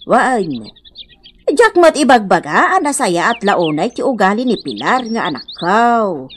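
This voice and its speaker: A woman's voice: medium pitch, big pitch swings, a little slow, a little hiss or hum. An actress playing a concerned, reproachful village mother in a radio comedy-drama, with an Ilocano accent and an admonishing delivery.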